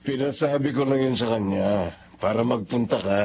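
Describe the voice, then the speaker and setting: A man's voice: medium pitch, a little slow, darkish tone, close to an everyday voice. A man playing a lecherous, menacing rich Filipino boss, smug and threatening, in radio drama style. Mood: smug menace. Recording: dull audio with background noise.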